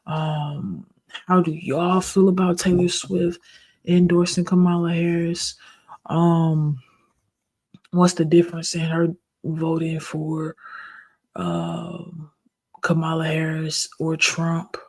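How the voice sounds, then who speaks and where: A soft-spoken reaction video host talking casually and directly to viewers. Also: mid-range voice, little pitch movement, takes it slowly, long pauses. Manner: soft-spoken, calls it an ASMR voice; chatty, speaks directly to viewers.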